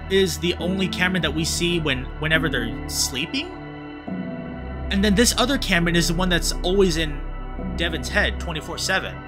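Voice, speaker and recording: A man giving casual let's-play commentary as a streamer, thinking aloud in an animated, sweary way. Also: on the high side, normal speed. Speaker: streamer reading a visual novel aloud. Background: game music.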